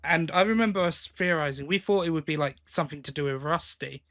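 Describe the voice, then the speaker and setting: A man's voice: a little high, fairly quick, fairly bright tone. A man co-hosting a podcast, chatting casually about news. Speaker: podcast co-host. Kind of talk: casual chat about sci-fi audio drama news.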